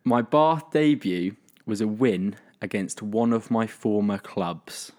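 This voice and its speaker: A man's voice: fairly low pitch, takes it slowly, a little mellow. Giggly man hosting a quiz. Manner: laughs often, hesitant fillers. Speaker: podcast host running a quiz. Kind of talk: casual podcast chat.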